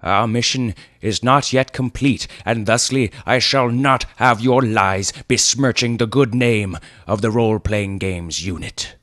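A man's voice: on the low side, a little slow, hardly any pauses, a bit bright, no echo, studio quality, voice pushed up. A man voicing a wise old fellowship leader, lordly, formal and earnest, in a comic fantasy story. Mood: grave, stern. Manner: formal, earnest, mock-noble.

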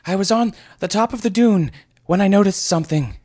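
A man's voice: high voice, brisk, darkish tone, no room sound, studio quality, pitched above the performer's own voice. A man voicing a flustered, stout young squire who pants and stammers. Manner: breathless, stammering.

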